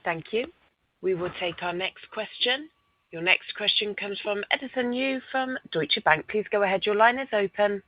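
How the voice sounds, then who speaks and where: A woman's medium-pitched voice comes over a dry, slightly dull line. She is a conference operator reading standard announcements in a formal, formulaic manner. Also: expressive, moderate pace, no echo. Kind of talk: scripted call announcements.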